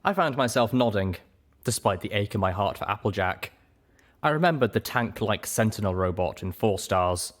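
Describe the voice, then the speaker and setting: Male narrator for first-person fiction, fairly low register, scripted storytelling delivery. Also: expressive, normal speed. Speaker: story reader.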